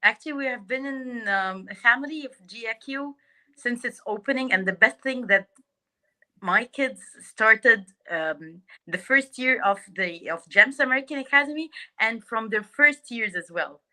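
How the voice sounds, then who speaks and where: Egyptian mom on a video call, really bright higher voice, stops to find her words. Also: animated, poor audio. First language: Arabic. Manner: halting, searching for words. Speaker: parent on a panel.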